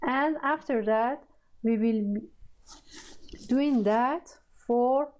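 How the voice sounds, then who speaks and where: A woman with a Persian accent, a native Persian speaker, teaching a coding lesson in halting, hesitant speech full of fillers. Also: on the high side, a little mellow. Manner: halting, searching for words, many fillers. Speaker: programming tutor. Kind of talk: screen-recorded coding tutorial.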